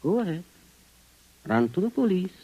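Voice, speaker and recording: A coldly superior Venusian alien. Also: male voice, high voice, normal speed, husky, no echo, muffled recording, full character voice. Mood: cool menace. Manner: calm, condescending, menacing. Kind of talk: 1950s radio drama acting.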